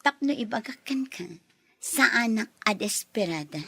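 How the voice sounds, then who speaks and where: Plaintive, husky young Filipino girlfriend. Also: on the high side, lively intonation, normal speed, bright, forceful tone, no room sound, studio quality, full character voice. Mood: defensive. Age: young adult. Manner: plaintive, earnest. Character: hurt, sincere young girlfriend standing up for herself. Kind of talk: Ilocano radio drama acting.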